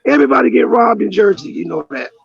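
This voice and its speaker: A man who is a comedian, talking hoarsely and teasing his hosts with brash, crude banter in a casual podcast chat over a call. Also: high voice, animated, quick pace, muffled recording. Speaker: guest comedian.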